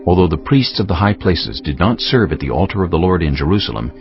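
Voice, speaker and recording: A man narrating a scripture audiobook in a measured, solemn style. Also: low-pitched, big pitch swings. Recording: dull lo-fi sound with music behind.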